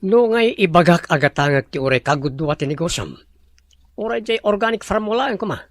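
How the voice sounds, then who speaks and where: A man's voice: high-pitched, lively intonation, brisk, no echo. A man playing a proud, aggrieved rival in love in an Ilocano audio drama. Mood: scornful, demanding.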